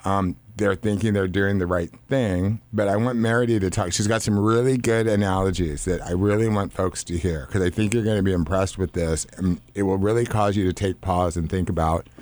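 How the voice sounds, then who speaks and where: Raspy-voiced radio host, low and dry. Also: male voice, steady tone, brisk, few pauses, a little mellow, dry recording. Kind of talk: casual radio talk show.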